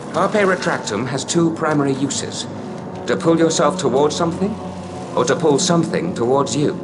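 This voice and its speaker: An actor playing a patient, encouraging wizard professor giving instructions in a video game. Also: male voice, medium pitch. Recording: loud game music behind.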